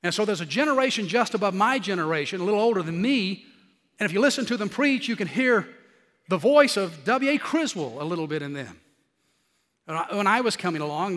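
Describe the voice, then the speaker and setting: A middle-aged male preacher with a high, nasally and slightly scratchy voice. He talks in an animated way and climbs to emphatic peaks. His voice rings out with a long reverb in a church hall. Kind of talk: sermon.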